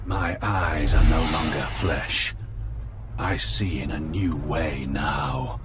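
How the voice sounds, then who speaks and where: An actor playing a vengeful, rebuilt cyborg Sith lord in a video game, speaking in a heavy, menacing, theatrical way. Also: male voice, extremely low pitch. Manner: slow, theatrical, grandiose threats. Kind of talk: video game villain acting. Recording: clearly noisy game capture.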